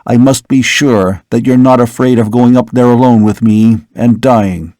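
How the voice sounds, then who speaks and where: Guy doing a tired old doctor dad, soft and grave, dry sound. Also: mid-range voice, steady tone, moderate pace, dry recording, natural voice. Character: older man, weary brooding father, a doctor. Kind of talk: audiobook character reading.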